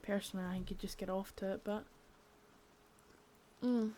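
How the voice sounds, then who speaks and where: A young woman doing an ASMR roleplay as a cold, contemptuous female boss of a drug ring, menacing and swearing, soft-spoken with bits of whisper. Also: mid-range voice, fairly even intonation, normal speed, slight background noise, lightly acted. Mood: cold contempt. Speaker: ASMR creator.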